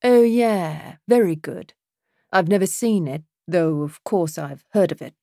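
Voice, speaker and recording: A woman voicing a polite, flirtatious British man in an audiobook, courteous and softly teasing. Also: fairly low pitch, animated, measured pace, vocal fry, no room sound, studio quality. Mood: friendly, flirtatious.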